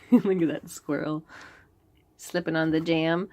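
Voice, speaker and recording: A woman reading a picture book aloud to young children in an expressive way, with chatty asides and questions about the pictures. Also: deep voice. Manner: expressive, pauses to point out pictures and ask questions. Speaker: children's read-aloud host.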